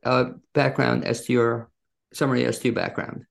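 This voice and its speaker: A man's voice: medium pitch, level tone, moderate pace, creaky. A man, hosting an interview in a casual, conversational style. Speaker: interview host.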